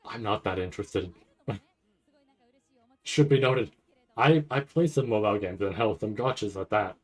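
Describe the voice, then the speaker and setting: Guy with a lowish voice streaming his reactions, casual, stumbles and repeats himself a lot, kinda nervous excited. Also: moderate pace. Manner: rambling, stammering, repeats words. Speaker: streamer reacting to a live anime event. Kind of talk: casual livestream reaction commentary.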